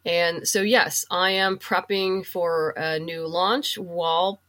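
Female interview guest, slightly low voice, casual, thinking aloud in halting phrases. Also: fairly even intonation, a bit bright. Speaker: podcast guest, comic writer and audio drama producer.

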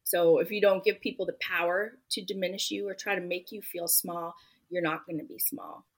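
A woman's voice: on the low side, steady tone, normal speed. A woman author being interviewed, speaking earnestly and emphatically, often saying "you know". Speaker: guest author. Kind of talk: podcast interview answers.

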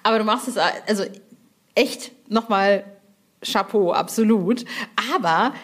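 A woman's voice: mid-range voice, measured pace. A woman hosting a casual podcast interview, speaking effusively and emphatically while searching for her words. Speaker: podcast host interviewing a colleague. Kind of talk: casual chat.

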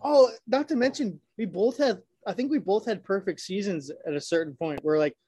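Laughing young man, slightly high voice. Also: animated, brisk, darkish tone, slightly rough, no echo. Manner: rambling, laughs often, swears freely. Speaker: podcast co-host. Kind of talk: casual chat.

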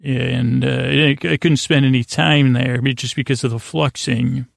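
A man with a creaky voice, rambling through a bedtime podcast monologue in a halting, stammering way, full of false starts. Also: mid-range voice, steady tone, no echo, clean studio sound. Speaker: podcast host.

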